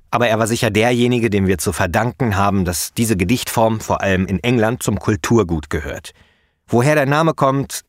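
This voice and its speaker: A man hosting his own podcast, explaining in a chatty, relaxed way with frequent fillers. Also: fairly low pitch, no room sound, clean studio sound. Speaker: podcast host and poet.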